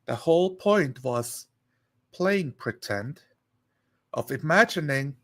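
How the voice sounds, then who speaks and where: A man chatting casually on a live stream, rambling and thinking aloud in a halting way. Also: a little high, animated, a bit bright.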